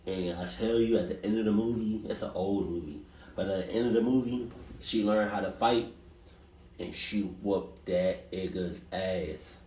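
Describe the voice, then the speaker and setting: A low-pitched man gives loose, hesitant, slangy commentary like a reaction host. He sounds roomy and slightly distant, with faint noise around him. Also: moderate pace, mellow. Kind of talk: casual, unscripted commentary.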